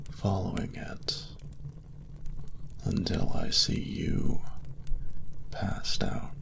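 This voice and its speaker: A man plays a suave dragon lover, murmuring to his beloved in a romantic audio drama. The voice is low and whispery, with a gravelly edge. He takes his time over courtly, archaic phrases, doting and teasing as he seduces. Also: full character voice. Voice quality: deep gravelly half-whisper. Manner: slow, breathy, formal archaic phrasing, seductive. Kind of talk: scripted romantic audio roleplay, intimate address to listener.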